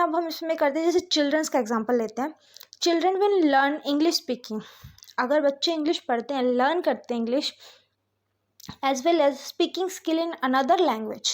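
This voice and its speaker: A woman's voice: high voice, measured pace. A woman with an Indian accent giving an explanatory lecture as a teacher, mixing Hindi and English and often checking that listeners follow.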